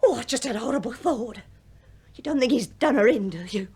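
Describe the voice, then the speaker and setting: A woman's voice: a little high, expressive, a little fast, a little mellow, slightly rough. An actress playing a saucy, gossipy middle-aged English cleaning woman in a British radio drama, chatty and teasing. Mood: gossipy, cheeky. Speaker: actor in a radio play.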